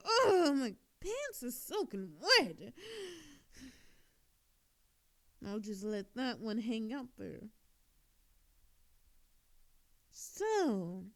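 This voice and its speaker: A woman playing an obnoxiously flirtatious, sarcastic female thief in a scripted audio roleplay, teasing coyly and theatrically. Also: fairly high pitch. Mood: sarcastic, sly.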